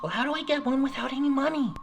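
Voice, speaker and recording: Man as a high-pitched, frightened, hesitant little boy. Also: rapid-fire, gravelly, noisy background. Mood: incredulous. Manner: stammering, pleading, incredulous. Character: frightened, innocent young boy, hesitant and questioning. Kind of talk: horror storytelling character voice.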